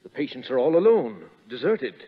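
An actor playing an earnest, concerned army major speaking in a measured way in a 1930s radio drama. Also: male voice, mid-range voice, sing-song, slightly rough. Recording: muffled 1930s radio broadcast.

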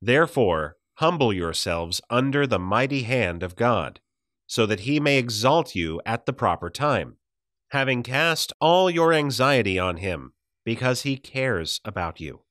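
A man narrates a devotional audiobook at an unhurried pace, enunciating with care. His pitch moves in lively swings across phrases. The sound is dry and close, free of room reflections. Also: no echo. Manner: measured, deliberate enunciation.

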